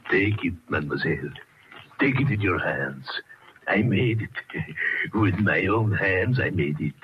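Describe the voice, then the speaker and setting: An actor playing a crazed, eerily courteous old French hermit with a raspy voice, in old-time radio horror style. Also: male voice, low-pitched, animated, measured pace, a little hiss or hum. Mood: obsessive pride. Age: older. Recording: dull, lo-fi old radio recording.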